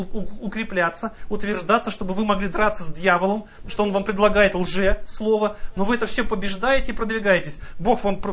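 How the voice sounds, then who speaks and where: A man's voice: high-pitched, fairly bright tone. A man preaching a sermon, earnest and exhorting, reading scripture aloud and mimicking the excuses of doubters.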